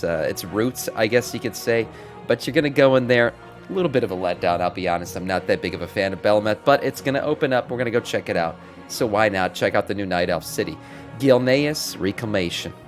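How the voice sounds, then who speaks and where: A middle-aged man hosting a gaming news stream, casually reading out updates with informal, mildly sweary asides to viewers. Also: on the low side. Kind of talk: casual livestream commentary with some reading aloud. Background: background music.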